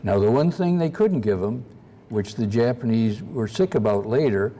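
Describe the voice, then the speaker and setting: A soft-spoken man giving a slide-show history lecture at a convention, halting often with uhs. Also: mid-range voice, animated. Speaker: guest lecturer.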